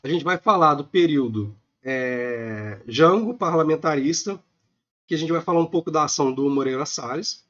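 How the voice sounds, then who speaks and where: A man with a Brazilian accent gives a recorded online economics lecture, a little hoarse from a cold, talking informally with many filler sounds. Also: fairly high pitch, moderate pace, fairly bright tone, highs cut off. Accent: Brazilian Portuguese.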